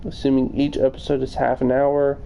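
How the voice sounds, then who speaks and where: A man's voice: mid-range voice, completely flat delivery, fairly quick, vocal fry, mellow, poor audio. A man streaming casually, answering viewers with wordy, formal phrasing. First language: English. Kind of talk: casual livestream chat answering viewer questions. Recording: noticeable background noise.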